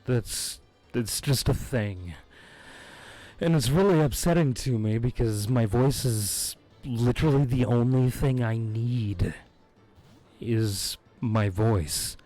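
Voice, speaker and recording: A man talks through his game stream in a slow, mellow, slightly hoarse voice, sitting close to his mic. He rambles easily and chuckles between thoughts. Faint game music plays underneath. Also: medium pitch. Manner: laid-back, rambling, chuckles often. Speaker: streamer playing a video game. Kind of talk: casual live-stream chat. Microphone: close desk microphone.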